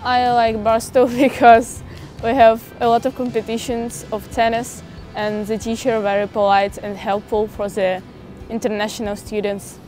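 Ukrainian-accented teen girl, flat voice. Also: on the high side, faint music behind. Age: teens. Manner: simple, halting English. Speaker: interviewee. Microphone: lapel.

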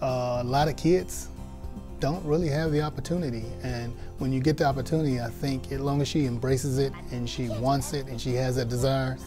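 A man in his forties speaks in a sit-down studio interview. His voice is mid-pitched, recorded on a lapel mic with instrumental music clearly behind. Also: fairly even intonation, fairly quick. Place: indoor studio.

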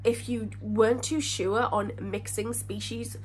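A woman in her thirties talks straight to the camera from her living room, her voice a little high and audibly stuffed up with a cold. She rambles and corrects herself as she goes, in a casual, friendly chat. A faint hum sits quietly in the background. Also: fairly even intonation, unhurried. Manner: chatty, rambling, self-correcting. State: congested, slightly crackly voice from a cold. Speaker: aquarium channel host. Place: living room in front of a fish tank.

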